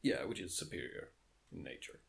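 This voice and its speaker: A man's voice: deep voice, big pitch swings, moderate pace, creaky, slight background noise. A man co-hosting a casual podcast chat, speaking in a dry, matter-of-fact way and laughing along. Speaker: podcast co-host.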